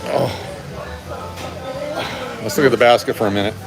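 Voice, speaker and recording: A man's voice: medium pitch, fairly even intonation, moderate pace, gravelly, poor audio. A middle-aged man hosting a casual, bantering live stream from a restaurant table into a handheld phone. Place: restaurant dining room, indoors. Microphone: phone at arm's length. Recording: music playing in the room. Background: music.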